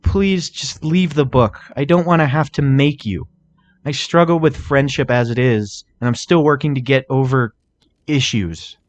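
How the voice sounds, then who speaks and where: Man voicing a fierce, indignant unicorn mare, pitched up. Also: a little slow, close to an everyday voice. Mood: pleading. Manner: firm, indignant. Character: brash female unicorn, fierce defensive student, quick-tempered.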